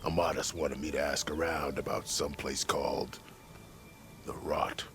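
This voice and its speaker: A very deep-voiced caravan scout. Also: male voice, flat delivery, a little slow, fairly bright tone, soft background music, exaggerated voice. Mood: matter-of-fact. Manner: folksy, matter-of-fact. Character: plain-spoken, seasoned caravan scout, male. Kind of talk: video game character acting.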